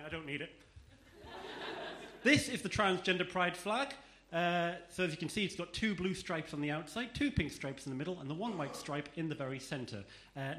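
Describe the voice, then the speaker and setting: Brief: male stand-up, bright tense voice, wry storytelling, echoey club. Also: on the high side, slight background noise. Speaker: stand-up comedian. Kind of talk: stand-up set. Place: comedy club stage.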